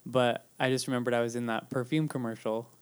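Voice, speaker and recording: Mid-pitched, dry-witted co-host, understated storytelling with playful impressions. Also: steady tone, fast talker, clean tone. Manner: wry, dry storyteller who lapses into mocking voices. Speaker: podcast co-host. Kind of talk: casual chat, storytelling.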